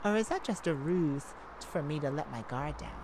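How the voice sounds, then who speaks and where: Woman acting a cocky, flirty, low-voiced cat burglar. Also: lively intonation, noisy background. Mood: flirty, smug. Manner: teasing, sassy, theatrical, drawn-out purring delivery. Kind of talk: flirtatious ASMR roleplay monologue.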